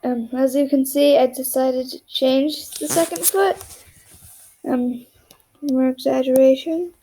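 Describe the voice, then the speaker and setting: A young woman talks through a drawing tutorial in a flat, rambling monotone, often half-whispering while she draws. Her voice sits high but has a mellow, gravelly edge. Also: measured pace, low-quality recording. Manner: monotone, rambling, self-deprecating. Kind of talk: casual drawing tutorial narration.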